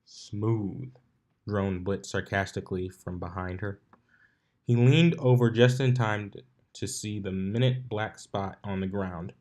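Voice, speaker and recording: Guy with a lowish voice reading a story out loud, trips on words and goes back. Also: measured pace. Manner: stumbles over words and rereads phrases. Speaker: narrator reading fan fiction aloud. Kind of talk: scripted story narration.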